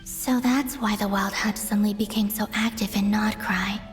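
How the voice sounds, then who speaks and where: A woman playing a gentle, guarded moon goddess in a video game, speaking earnestly in a measured, soft way. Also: mid-range voice, fairly even intonation, faint music behind, close to an everyday voice. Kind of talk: dramatic video game dialogue.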